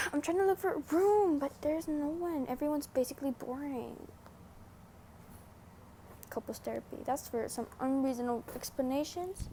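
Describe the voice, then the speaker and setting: High-pitched young woman, slangy gamer chat. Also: moderate pace, warm tone, slight background noise. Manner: slangy, sweary, teasing. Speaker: gaming vlogger. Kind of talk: casual gameplay commentary.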